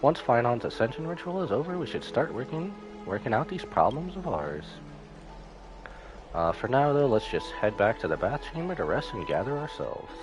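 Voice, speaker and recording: A man's voice: mid-range voice, big pitch swings, normal speed. A man live-streaming a story game, reading dialogue aloud and adding casual asides. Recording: music behind the voice.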